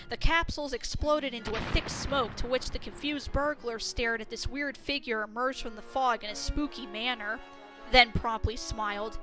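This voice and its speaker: Bright-voiced woman narrating a story. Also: high-pitched, rough recording. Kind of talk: scripted dramatic story narration.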